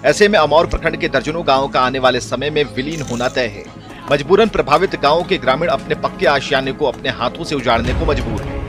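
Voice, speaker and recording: A man giving scripted news narration in a formal, measured way. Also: medium pitch, music in the background. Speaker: news reporter.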